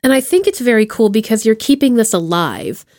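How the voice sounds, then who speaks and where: A woman with a slightly high voice hosts a casual interview podcast. She is chatty, playful and full of enthusiasm. The sound is dry and close, with little room in it. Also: lively intonation, brisk, a little mellow, dry recording. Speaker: podcast host interviewing a guest.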